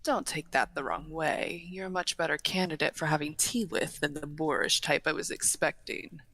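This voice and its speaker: A woman's voice: deep voice, steady tone, fairly bright tone. A woman playing a courteous, refined half-dragon hostess in a fantasy roleplay, speaking formally with dry teasing. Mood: politely teasing. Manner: genteel, formal, unhurried wording with dry teasing. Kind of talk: fantasy roleplay audio acting, addressing the listener.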